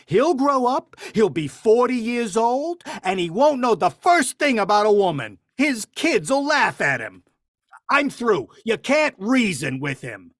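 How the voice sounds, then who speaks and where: A woman's voice: fairly high pitch, big pitch swings, no room sound, clean studio sound. A woman playing an exasperated, blustering middle-aged father in a vintage-style radio play. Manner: blustery, quick to give up in frustration.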